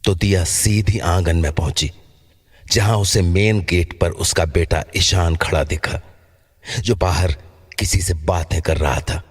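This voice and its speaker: A man's voice: deep voice, steady tone, unhurried, slightly rough. A man narrating a scripted horror story.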